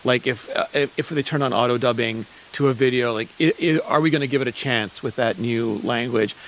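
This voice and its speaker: A man's voice: mid-range voice, a little fast, a bit bright, a little hiss or hum, poor audio. A man from Montreal interviewing in a casual, informal and enthusiastic way. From Montreal, Canada. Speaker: interviewer and creator liaison.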